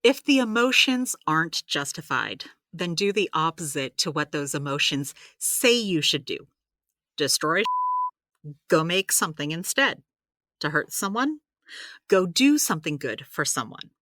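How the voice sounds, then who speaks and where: Audio drama healer: practical caring mentor, very animated delivery, dry close sound, rambling explanations. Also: female voice, low voice, unhurried, no room sound, heavily acted. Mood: instructive. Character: supernatural healer woman, practical, chatty, gently caring mentor.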